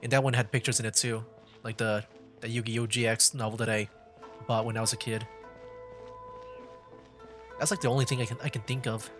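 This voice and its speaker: A man streams a video game and chats off the cuff in a middling-pitched voice. His phrasing is halting and rambling, with repeated words. Faint game music plays behind him. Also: fairly even intonation. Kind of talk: casual livestream commentary, rambling.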